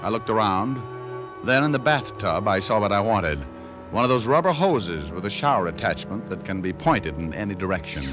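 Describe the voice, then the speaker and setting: A wisecracking private detective from a vintage radio drama. His American voice sits fairly low and moves in lively, expressive contours. The delivery is cool and wry, with dry wit. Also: moderate pace, music in the background. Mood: wry, narrating. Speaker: lead actor and narrator. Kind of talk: old-time radio detective narration.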